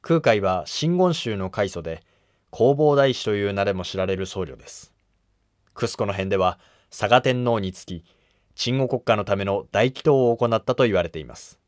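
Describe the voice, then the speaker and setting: A man's voice: fairly low pitch, expressive, moderate pace, dry recording, clean studio sound. A man reading scripted narration for a historical drama.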